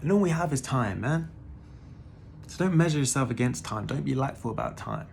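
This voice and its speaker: Gravelly laid-back man in his thirties. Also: medium pitch, lively intonation, fairly quick, a little mellow, a little hiss or hum. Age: 30s. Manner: laid-back, peppers talk with "man" and swearing. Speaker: spiritual vlogger. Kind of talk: casual spiritual monologue.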